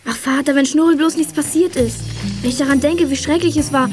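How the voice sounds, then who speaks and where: A woman's voice: high-pitched, a little fast, soft background music, close to an everyday voice. A woman playing an anxious schoolgirl detective who loves her cat, in a children's radio play. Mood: worried.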